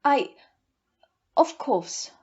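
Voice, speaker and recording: A woman's voice: very slow, a little mellow. A woman playing a nervous, defensive young lady in an audio play, hesitant when questioned, on a muffled recording. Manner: hesitant, faltering under questioning.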